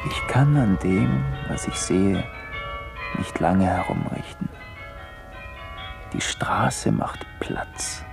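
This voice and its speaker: A man narrates a radio play in a low, creaky voice. He reads slowly and with detachment, like a plain observer, with music clearly behind him. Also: lively intonation. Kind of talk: literary scripted narration of street observations.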